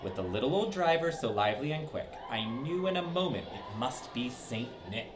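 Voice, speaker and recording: A man's voice: medium pitch, normal speed. Guy reading a bedtime story to kids, voice bouncing all over, lots of background hiss. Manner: lively, sing-song, with dramatic swoops. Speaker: storybook reader for children. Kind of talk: reading a rhyming story aloud.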